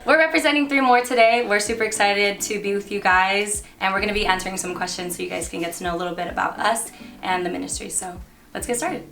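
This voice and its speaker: A woman's voice: mid-range voice, steady tone, fast talker, fairly bright tone. Upbeat young woman, distant mic, music. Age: 20s. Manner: upbeat, chatty. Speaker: band member. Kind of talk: casual chat.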